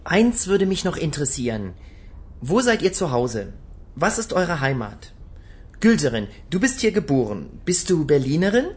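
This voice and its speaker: Actor voicing a friendly radio reporter, animated intonation, clear questions, language-course drama. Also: mid-range voice, moderate pace, close to an everyday voice. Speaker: radio interviewer.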